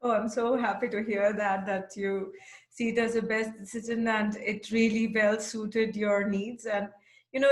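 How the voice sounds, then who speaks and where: A woman's voice: a little high, a bit bright. A woman hosting an interview on a video call, speaking in an encouraging, flowing way. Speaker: Ayurveda teacher, interview host. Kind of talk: casual interview chat. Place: home office, video call. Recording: roomy video call audio.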